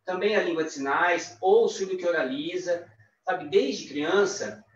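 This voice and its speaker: A man with a Brazilian accent voicing a signed talk, halting, with restarts and tag questions. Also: high-pitched, background noise. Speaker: sign language interpreter. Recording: distant echoey lo-fi livestream audio.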